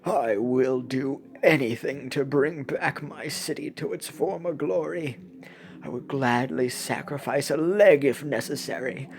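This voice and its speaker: A woman voicing a devoted villain's minion from a game, solemn and melodramatic, with drawn-out delivery. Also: a bass voice, a little mellow. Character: devoted, grovelling villain's minion pledging loyal service.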